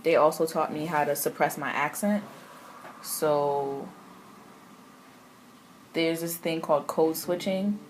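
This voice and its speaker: A woman's voice: low voice, takes it slowly, pauses often, faint music behind, low-quality recording. A woman in her twenties from Haiti, French her first language, speaking with a Haitian accent and answering interview questions candidly. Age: 20s. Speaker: interviewee, immigrant student.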